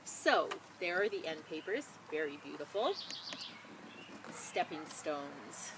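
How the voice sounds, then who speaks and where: A woman reading a children's picture book aloud in a measured, expressive storytelling manner, with spoken asides. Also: deep voice, background noise. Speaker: storybook reader.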